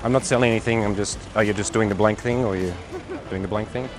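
A man's voice: lowish voice, fairly even intonation, quick pace. A man approaching a stranger on a city street, upbeat, chatty and teasing. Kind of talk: casual street chat. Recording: street clip with music over it.

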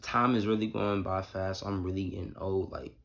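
A young American man around twenty with a fairly low-pitched voice talks to the camera. He speaks like a casual vlogger, heavy on slang and emphasis, often repeating phrases to drive them home. He is recorded in a small room at home at arm's length. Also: flat delivery, normal speed, creaky, a little hiss or hum. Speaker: YouTube vlogger. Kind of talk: casual excited vlog chat to camera. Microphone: phone or camera at arm's length.

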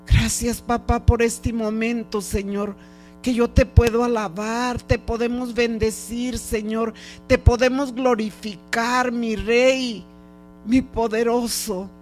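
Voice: mid-range voice, level tone, slow pace. A prayer leader speaking a fervent, improvised devotional prayer in short, pleading, repeated phrases. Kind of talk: fervent extemporaneous spoken prayer. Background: music.

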